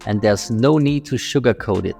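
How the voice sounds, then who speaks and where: Man, Austrian accent, gravelly voice, faint music underneath, frank drum coach. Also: fairly low pitch, normal speed, a little mellow. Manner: frank, matter-of-fact. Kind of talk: direct-to-camera channel talk.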